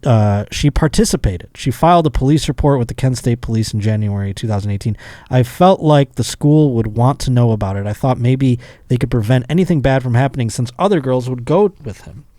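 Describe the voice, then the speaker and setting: A man's voice: medium pitch, animated, brisk, mellow, no room sound, clean studio sound. A man hosting a casual comedy podcast, reading news stories aloud and riffing on them with sardonic, profane asides. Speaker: podcast host.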